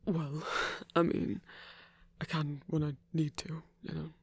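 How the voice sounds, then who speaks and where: A man plays a shy, smitten young hunter in an intimate audio drama. His voice is breathy and husky with some gravel, unhurried and halting, full of awkward stammers. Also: fairly high pitch, flat delivery, full character voice. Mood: earnest, nervous. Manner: hesitant, stammering, nervous little laughs. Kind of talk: intimate ASMR audio roleplay.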